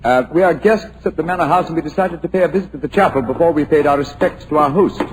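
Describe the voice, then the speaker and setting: An actor playing a calm, curious male detective, in 1940s radio-drama style. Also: fairly high pitch, background noise, lightly acted. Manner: measured, polite, precise.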